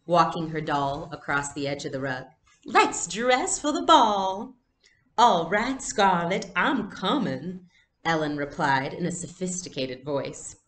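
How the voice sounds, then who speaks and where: A woman reading a storybook aloud as a teacher, in an expressive style with explanations. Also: low voice, reverberant, slight background noise.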